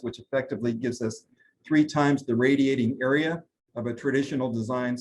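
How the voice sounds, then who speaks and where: A man, a brand president, giving a trade interview and running through product lines with steady talk and frequent fillers. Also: mid-range voice, a little hiss or hum, rough recording. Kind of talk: business interview, product rundown.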